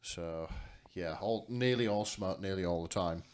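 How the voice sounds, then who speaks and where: A man's voice: low voice, measured pace, darkish tone. A man co-hosting a podcast in casual comedic chat, speaking in a hesitant, wry way. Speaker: podcast co-host.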